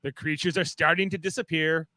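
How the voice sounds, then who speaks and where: A man's voice: on the high side, fairly even intonation, a little fast, sharp tone, no room sound. A man narrating stage directions for a fan radio play in a casual, wry way.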